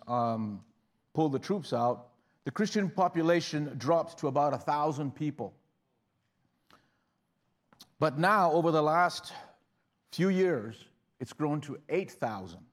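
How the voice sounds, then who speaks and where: A man preaching a sermon in a conversational style, often restarting phrases and rising in bursts of emphasis. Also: on the high side. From Uganda. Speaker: guest preacher.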